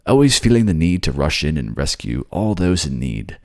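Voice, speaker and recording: A man's voice: very deep voice, big pitch swings, normal speed, dry recording, studio quality, full character voice. A man playing a suave, manipulative fantasy ruler who coaxes his captive in an intimate ASMR audio drama. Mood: possessive coaxing. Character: suave manipulative male water-folk ruler, soothing captor.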